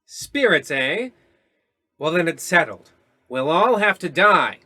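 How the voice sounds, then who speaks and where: A man's voice: a little high, very animated, takes it slowly, sharp tone, no echo, heavily acted. A man playing an overconfident, melodramatic police detective in an old-time radio comedy style. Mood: urgent. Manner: melodramatic, emphatic. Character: excitable, overconfident male police detective with absurd logic.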